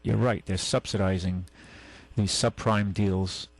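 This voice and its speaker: A man's voice: deep voice, steady tone, a little slow, dry recording, rough recording. A man hosting a talk radio show, talking in a folksy, rambling, opinionated way. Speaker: radio show host and used car dealer. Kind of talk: casual radio chat. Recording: AM radio broadcast.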